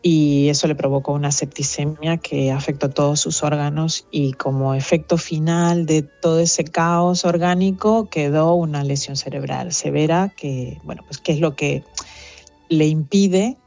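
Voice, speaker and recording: A middle-aged woman with a Venezuelan accent, speaking thoughtfully as an interview guest, pausing with fillers as she reflects. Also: deep voice, steady tone, gravelly, dark tone, dull audio, soft background music. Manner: reflective, earnest, with fillers and restarts. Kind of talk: conversational interview.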